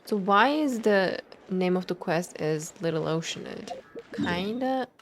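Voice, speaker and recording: A woman's voice: on the low side, animated, measured pace, slight background noise. A young woman streamer reacting casually to a game, thinking aloud and talking back to the characters.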